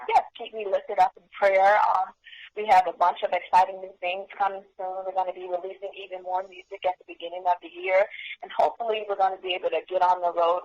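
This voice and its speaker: A woman's voice: mid-range voice, rough recording. Chatty woman singer as a guest. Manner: friendly, chatty, with frequent ums. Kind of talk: casual interview chat.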